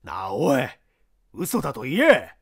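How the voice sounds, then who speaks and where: A man's voice: mid-range voice, wildly swooping pitch, unhurried, slightly rough, heavily acted. A man voicing a jealous, threatening big young man in an audiobook drama. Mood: angry, demanding. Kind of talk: audiobook character acting.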